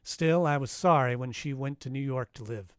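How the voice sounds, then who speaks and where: A man's voice: mid-range voice, moderate pace, clean tone, no echo, lightly acted. A man playing a sardonic, wisecracking private detective in the style of 1940s radio drama. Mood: wry narration. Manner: sardonic, taunting, wry. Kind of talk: 1940s radio detective acting, live performance. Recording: noisy home live-stream recording.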